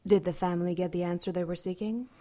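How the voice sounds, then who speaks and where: A woman playing an ancient, seductive and coolly menacing demon goddess in an audio drama, silky, deliberate and mocking. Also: on the low side, steady tone, mellow, natural voice. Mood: cool, probing.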